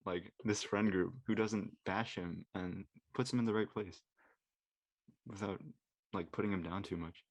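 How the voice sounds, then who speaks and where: A man's voice: sits low, steady tone, measured pace, poor audio. A man talking casually as a panelist in a group discussion. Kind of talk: casual chat.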